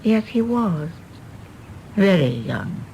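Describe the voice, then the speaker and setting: A genteel English widow in a radio play, composed yet wistful and frank. Her measured voice has a noticeable creak and a lively melody, with faint rumble behind it. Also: heavily acted, low-quality recording. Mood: calm, regretful. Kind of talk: British stage-play radio drama acting.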